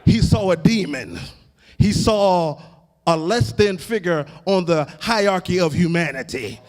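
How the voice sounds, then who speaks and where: American guy preaching a fiery baptist sermon, highish voice, big echoey hall, emphatic and repeats phrases for effect. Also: lively intonation. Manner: emphatic, oratorical, deliberate repetition with building cadence. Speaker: Baptist pastor. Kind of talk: impassioned sermon. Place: large indoor hall, live event. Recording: echoey hall with long reverb.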